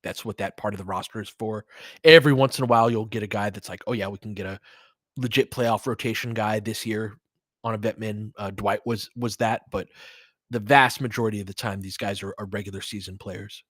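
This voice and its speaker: A man's voice: on the low side, steady tone, fairly quick, a bit bright, husky, no echo, clean studio sound. A man giving casual live basketball commentary as a host, chatting informally with listeners and reacting like a fan.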